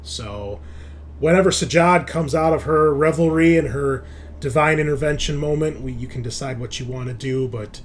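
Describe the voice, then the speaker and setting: A man's voice: fairly high pitch, normal speed, slight background noise. A man narrating a tabletop game as game master, conversational and thinking aloud as he goes.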